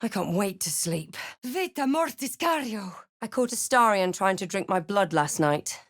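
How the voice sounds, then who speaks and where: A woman's voice: mid-range voice, animated, normal speed, natural voice. A woman playing a determined, snarky adventurer and spellcaster in a video game, with clipped, theatrical delivery. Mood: wary, matter-of-fact.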